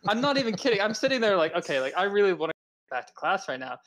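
A man's voice: high voice, racing, poor audio. Young man rambling through a story. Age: young adult. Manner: rambling and animated, full of "like" and "um". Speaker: podcast co-host. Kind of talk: casual storytelling among friends.

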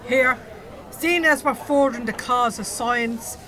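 An actress in an audio drama playing a blunt, wary working-class bingo checker with a Dublin accent. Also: female voice, fairly high pitch, unhurried, bright, forceful tone, a faint crowd. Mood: wary, haggling. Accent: Dublin Irish. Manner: blunt, haggling.

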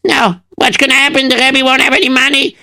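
A man's voice: extremely high pitch, little pitch movement, a little fast, vocal fry, sharp tone, pitched above the performer's own voice, heavily acted. Man putting on a fretful farmer. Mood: distraught. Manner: fretful, sing-song, wailing. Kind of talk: storytelling.

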